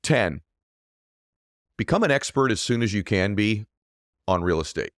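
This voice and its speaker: A middle-aged man with a deep voice, hosting a show and giving an emphatic motivational monologue that often asks "right?". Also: lively intonation, measured pace, a bit bright, dry recording, clean studio sound. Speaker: podcast host.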